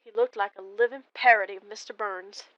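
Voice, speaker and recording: A woman narrating a first-person ghost story in a deliberate, expressive, dramatic way. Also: medium pitch, no room sound, dull audio. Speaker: storyteller calling in to a horror radio show.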